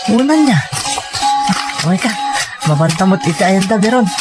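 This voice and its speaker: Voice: mid-range voice, animated, normal speed, natural voice. An actor in an Ilocano audio drama playing a curious newcomer to a forest camp. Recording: background music under the voice.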